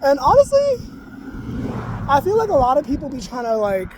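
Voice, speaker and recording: A young man talking casually to camera in a soft-spoken, rambling way, full of "like". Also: very high-pitched, huge pitch swings, normal speed, noisy background. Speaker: spiritual vlogger.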